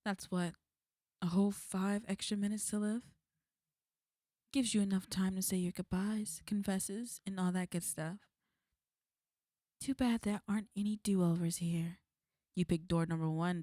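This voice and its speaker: A woman playing a smug, menacing villain in an ASMR roleplay, taunting and threatening in a cool, unhurried way. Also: lowish voice, level tone, warm tone, dry recording, studio quality, natural voice. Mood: mocking, smug. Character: smug, menacing female villain, fond of an enemy.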